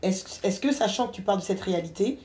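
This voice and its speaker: A woman's voice: medium pitch, expressive, quick pace, darkish tone, echoey room, slight background noise. A woman from Belgium moderating an informal group debate, assertive and insistent, repeating her point.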